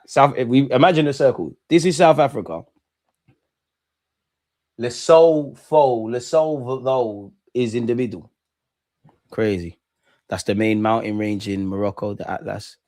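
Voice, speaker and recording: A young man with a London accent hosting a football watch-along, chatting casually and excitably in slang with his viewers. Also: medium pitch, a little slow, long pauses. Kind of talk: casual live reaction commentary and chat with viewers.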